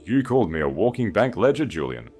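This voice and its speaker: A man voicing a betrayed young noblewoman in an audiobook, cold, measured and precise. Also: on the low side, sing-song, darkish tone, soft background music, voice pushed up. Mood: icy, final.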